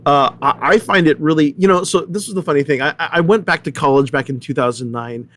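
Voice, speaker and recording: A middle-aged man in a casual panel discussion, rambling and halting as he often repeats his words. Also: fairly high pitch, fairly bright tone.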